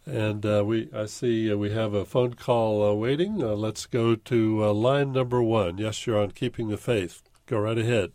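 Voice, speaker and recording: Male call-in radio host, lowish voice, conversational and halting. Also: fairly even intonation, a little mellow, no room sound. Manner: halting, rephrasing questions.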